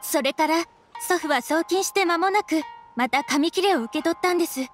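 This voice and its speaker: Casting: prim, worried young granddaughter, very high feminine voice, game dialogue. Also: female voice, moderate pace, faint music behind. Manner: polite, pleading feminine speech. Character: polite, anxious young townswoman, devoted granddaughter. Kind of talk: video game voice acting.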